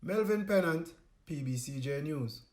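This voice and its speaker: A man's voice: a little high, lively intonation, unhurried, a little mellow. A Jamaican man narrating a scripted news report as a reporter.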